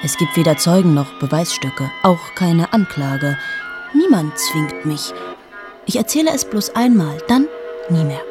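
A low-pitched woman in her late thirties confides in a radio play monologue. She is cool and self-absorbed, hushed and deliberate, often sinking towards a whisper, with faint music around her. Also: expressive. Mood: guarded, intimate. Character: 38-year-old female journalist, cool, amoral, confessional and self-absorbed.

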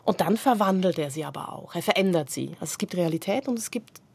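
A woman's voice: fairly low pitch, expressive, fast, creaky, darkish tone, husky. A woman hosting a conversational cultural interview, engaged and reflective as she puts her thoughts to the guest. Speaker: interviewer, radio host.

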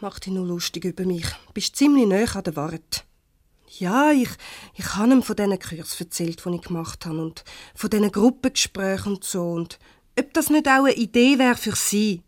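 Actress as defensive divorced woman, Swiss German accent, mid-pitched, hesitant, conversational radio-play delivery, close dry sound. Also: animated, moderate pace, no echo, lightly acted. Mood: defensive, explaining. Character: divorced woman, warm-hearted, defensive, confiding in a man. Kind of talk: naturalistic 1970s radio comedy acting.

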